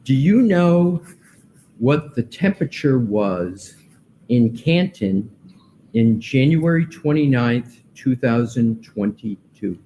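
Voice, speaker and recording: Slow, mellow-voiced man cross-examining. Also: medium pitch, lively intonation, slight background noise, rough recording. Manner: slow, pointed, deliberate questioning. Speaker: defense attorney.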